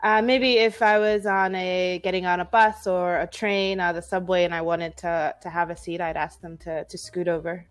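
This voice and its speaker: A woman's voice: on the low side, fairly even intonation, moderate pace, hardly any pauses, soft background music. A woman with an American accent, an English tutor explaining word choices in casual conversation.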